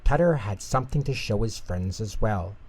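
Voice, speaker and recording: A man narrating a children's bedtime story in an expressive, unhurried way. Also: on the low side.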